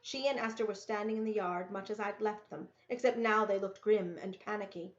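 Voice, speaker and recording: A woman's voice: mid-range voice, brisk. Woman narrating a story in an echoey room. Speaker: read-aloud narrator. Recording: roomy, echoey, dull lo-fi sound.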